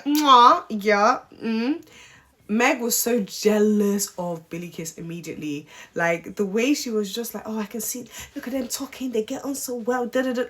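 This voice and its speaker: A woman, casually recapping a dating show with sassy, animated opinions. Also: mid-range voice. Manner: animated, sassy, mimics cast members. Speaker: reality show recap vlogger.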